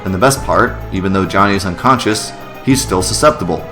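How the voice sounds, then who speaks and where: A man voicing a brisk, bossy female scientist who explains things in a story game. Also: low-pitched, music in the background, lightly acted. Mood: eager, explaining.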